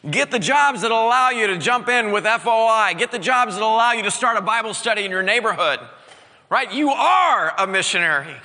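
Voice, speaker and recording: A male pastor preaching an energetic sermon, exhorting his congregation with call-and-response. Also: high voice, brisk, long reverb tail.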